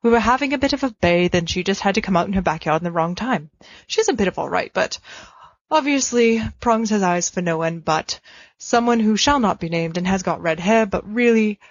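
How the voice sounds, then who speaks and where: A woman's voice: mid-range voice, animated, a little fast, dry recording. Playful woman reading a cheeky letter. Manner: playful, cheeky, mock-pompous delivery. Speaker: podfic reader. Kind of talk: reading a fan fiction letter aloud. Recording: dull lo-fi dry home recording.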